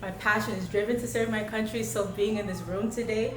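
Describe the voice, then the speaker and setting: A woman's voice: mid-range voice, steady tone, fairly quick. A young woman born in Haiti giving a heartfelt short testimony that builds up in lists. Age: young adult. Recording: echoey hall with noise.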